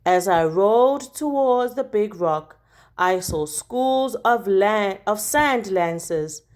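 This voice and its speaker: A woman reading a children's picture book aloud like a teacher, expressively, with deliberate dramatic emphasis on key words. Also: mid-range voice, animated, darkish tone.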